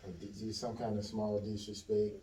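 A man interviewer asking relaxed, halting questions in a casual chat. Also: lowish voice, slight background noise. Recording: roomy, distant from the mic.

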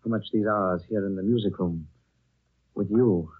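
An actor playing a composed, wistful gentleman traveler, measured and reflective, in 1930s radio drama style. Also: on the low side, fairly even intonation, breathy edge. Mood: tender, reflective. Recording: thin, narrow old 1930s radio recording.

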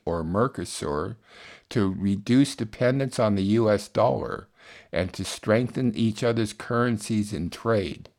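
Low-voiced male radio host. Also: darkish tone. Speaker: radio show host and producer. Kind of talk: scripted announcements and news summary.

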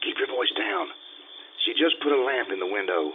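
A man's voice: medium pitch, very animated, moderate pace, creaky. Guy acting as vain womanizing adventurer, pompous old radio drama style, thin phone-like sound w some noise. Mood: hushed, urgent. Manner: pompous, theatrical. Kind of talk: 1950s radio drama acting, also narrating. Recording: tinny, noisy radio-style stage recording.

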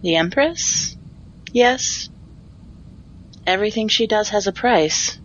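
A woman playing an enigmatic, commanding oracle queen in an audio drama, speaking in a measured, formal, cryptic way. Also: mid-range voice, animated, lo-fi sound, slight background noise. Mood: calm authority.

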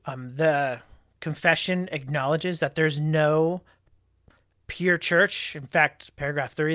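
Slow, deliberate man explaining doctrine. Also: fairly high pitch, pushed and bright, no room sound, studio quality. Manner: deliberate, halting, with fillers and self-corrections. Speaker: co-host discussing a church confession. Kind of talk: Bible study discussion show.

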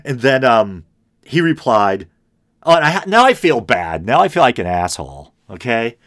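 A middle-aged man hosting a podcast alone, talking in a low, droning, semi-gravelly voice, rambling and self-deprecating with many ums and restarts. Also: sing-song, moderate pace, a bit bright. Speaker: podcast host. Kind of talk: casual solo podcast monologue.

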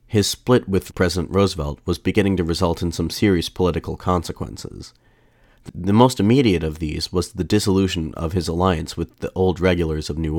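Deep-voiced man narrating history, dry sound. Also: darkish tone, no echo. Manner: measured, formal storytelling. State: slightly off voice after a mild illness. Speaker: podcast host.

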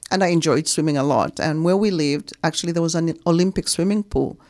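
A middle-aged woman from Zambia, a guest mother telling her life story in a relaxed interview, often adding "right?" and "you know". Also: low voice, fairly quick, no echo.

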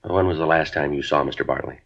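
Deadpan cop, super deep voice, rapid clipped questions, old lo-fi radio sound. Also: male voice. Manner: clipped, matter-of-fact questioning. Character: terse, deadpan male police detective. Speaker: police detective. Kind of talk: 1950s radio drama acting. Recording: dull lo-fi 1950s radio broadcast with faint music.

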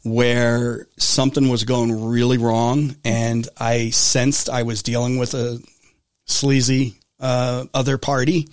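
Male talk host, voice going hoarse, rambling, close dry studio sound; casual political chat. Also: mid-range voice, level tone, slow pace, no room sound. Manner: rambling, many restarts.

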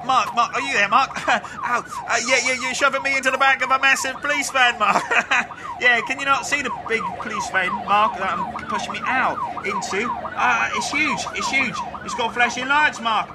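A man's voice: very high voice, huge pitch swings, moderate pace, background noise, exaggerated voice. A British man acting a hapless, overexcited bloke in a comedy sketch, stammering and insistent. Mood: manic, gleeful.

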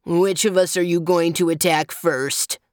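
Woman doing a doleful, low-voiced donkey. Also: steady tone, unhurried, darkish tone, no room sound, studio quality, close to an everyday voice. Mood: wary. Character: mournful, aggrieved storybook donkey.

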